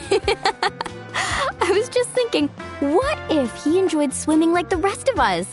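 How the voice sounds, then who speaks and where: Breezy, high-voiced surfer girl. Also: big pitch swings, slow, music in the background, full character voice. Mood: playful, upbeat. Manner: casual, breezy. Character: breezy, cheerful young surfer woman. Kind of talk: video game voice acting.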